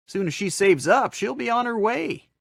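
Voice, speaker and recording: An actor playing a fretful, folksy old frontier storekeeper in a radio western, hesitant and fussing, with rustic diction. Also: male voice, sits high, animated, a little slow, creaky, a bit bright, no room sound, studio quality, heavily acted. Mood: chatty, folksy.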